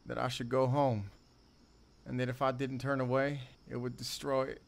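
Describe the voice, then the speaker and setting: An actor playing a remorseful drifter confessing a violent past in audio theater, speaking haltingly and broodingly. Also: male voice, medium pitch, flat delivery, vocal fry, close to an everyday voice. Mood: haunted, regretful.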